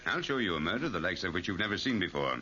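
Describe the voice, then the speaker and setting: An actor playing a gruff, commanding police chief who speaks brusquely, in 1940s radio drama style. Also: male voice, sits low, very animated, fast, sharp tone, exaggerated voice. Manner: brusque, barking orders. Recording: muffled, hissy 1940s radio recording.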